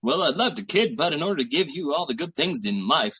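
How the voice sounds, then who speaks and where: An actor playing a boastful, slangy small-time schemer boyfriend in 1940s radio sitcom style, with a raspy voice. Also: male voice, a little high, very animated, a little fast, fairly bright tone, heavily acted. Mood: boastful, excited. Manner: slangy, bragging, theatrical hustler patter.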